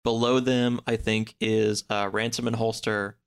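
A man in a casual podcast chat, recapping a story in a halting, hedging way. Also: on the low side, level tone, clear, resonant tone. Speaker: podcast speaker discussing books.

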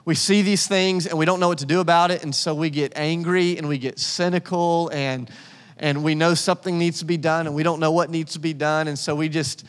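A man's voice: a little high, steady tone, a little fast, clear, resonant tone. A man, the church's pastor, speaking conversationally from the stage and introducing a guest. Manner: conversational, warm pastoral delivery with restarts. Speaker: lead pastor. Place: church sanctuary. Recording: long church hall reverb.

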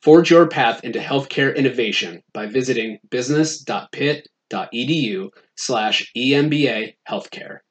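A man's voice: medium pitch, normal speed, few pauses. Polished male ad announcer. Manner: polished, persuasive delivery. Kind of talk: scripted ad read.

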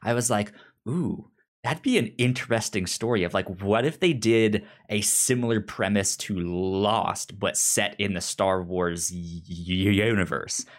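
A man's voice: lowish voice, a little slow. A man co-hosting a podcast, chatting casually about pop culture, with a stutter that repeats syllables and words. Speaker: podcast co-host.

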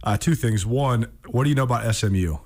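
A man's voice: medium pitch, fast, darkish tone. American man, emphatic sports radio host. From Montana, United States. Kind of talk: casual radio chat, storytelling. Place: radio studio.

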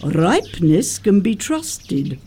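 A woman's voice: mid-range voice, big pitch swings, slow pace, warm tone, husky, heavily acted. A woman playing a prim middle-aged governess, pompous, moralizing and coyly flirtatious, in a theatrical radio comedy of manners. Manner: pompous, precise, emphatic.

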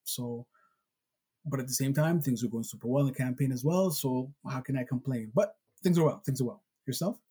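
A man's voice: mid-range voice, fairly quick, mellow, breathy edge. A man born in Somalia, a political candidate, talking reflectively and a little hesitantly in a casual chat.

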